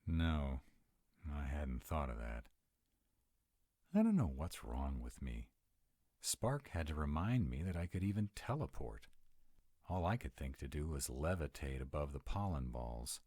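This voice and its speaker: Vampire for audio drama, male actor: dutiful, protective, very low register, lively inflection, close dry sound. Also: a little slow, slightly rough, no echo, heavily acted. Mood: troubled, puzzled. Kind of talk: roleplay audio drama acting.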